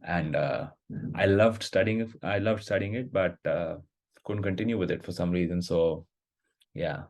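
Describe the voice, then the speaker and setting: Deep-voiced young podcast host. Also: male voice, moderate pace, a little mellow. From India. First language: Hindi. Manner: hesitant, many fillers and restarts while asking. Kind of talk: casual conversational interview.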